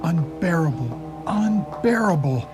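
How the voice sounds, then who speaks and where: A man's voice: a little high, big pitch swings, slow, music in the background. A man playing a tormented, nervous young husband in a radio play, hesitant and brooding. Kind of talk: radio theatre acting.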